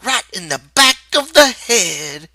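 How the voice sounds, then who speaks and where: A man voicing a brash, excitable ranch man, loud and sharp, with blunt urgent delivery in a storybook reading. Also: sits high, takes it slowly, creaky, no room sound, pitched above the performer's own voice, exaggerated voice. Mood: excited. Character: brash, excitable ranch men shouting roughly.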